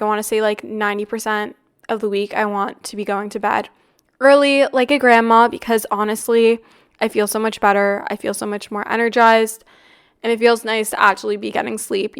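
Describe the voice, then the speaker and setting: A woman in her twenties hosting a podcast in casual chat, a little congested and raspy from the flu, upbeat and chatty with lots of "like" and "really". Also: medium pitch. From Canada. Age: 20s. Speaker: podcast host.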